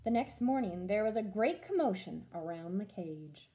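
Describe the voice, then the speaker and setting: A woman teacher reading a children's storybook aloud in an expressive storytelling style. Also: medium pitch, moderate pace, slight background noise.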